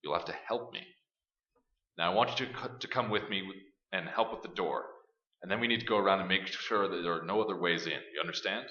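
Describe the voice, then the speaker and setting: A man's voice: low-pitched, fast talker, pushed and bright. An actor playing a determined, protective man taking charge in a horror radio play, speaking urgently and practically, with a commanding manner. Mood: firm, reassuring. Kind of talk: staged radio play acting. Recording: roomy, dull, noisy live stage recording.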